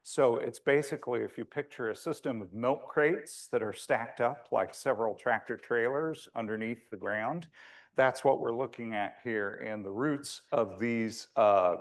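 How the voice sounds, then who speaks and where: Big-voiced man giving a conversational lecture. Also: on the low side. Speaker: landscape architect giving a presentation.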